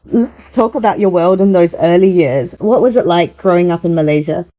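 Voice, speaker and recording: A woman hosting an interview podcast, asking questions in a measured, polished presenter manner. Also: fairly low pitch, lo-fi sound. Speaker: podcast host. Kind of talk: scripted show intro and interview questions.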